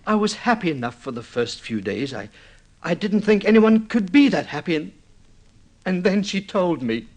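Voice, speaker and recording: An actor playing an excitable, obsessive newlywed man in an old-time radio drama, halting and stammering as he tells his story. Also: high-pitched. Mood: distraught. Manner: halting, stammering repeats, swinging into boastful excitement.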